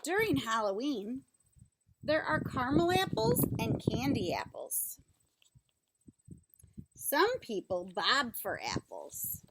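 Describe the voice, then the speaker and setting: A woman reads aloud to young children in a high voice with a noticeable creak. She takes her time, moving between the book's text and simple, instructional asides and questions. There is faint noise behind her. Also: wildly swooping pitch. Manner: simple, instructional, pausing to explain and ask questions. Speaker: teacher reading a picture book aloud to young children.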